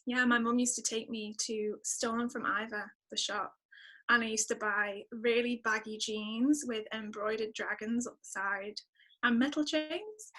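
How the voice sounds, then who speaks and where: A woman's voice: fairly high pitch, pushed and bright, poor audio. A woman with a Northern English accent chatting casually and informally as an interview guest. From northern England. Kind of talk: casual chat.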